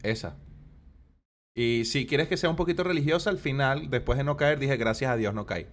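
A man's voice: mid-range voice, moderate pace, noisy background. A man, co-hosting a casual podcast chat, joking and laughing often. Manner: laughs often, jokey, bursts into loud playful exclamations. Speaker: podcast co-host.

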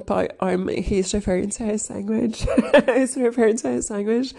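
A woman talks in a slow, rambling way, her voice a little sniffly after crying. Her tone is flat and mellow with a gravelly edge. She stops often to clear her throat and fills gaps with ums and likes. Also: mid-range voice. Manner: halting, many fillers, keeps clearing throat. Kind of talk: casual rambling chat.

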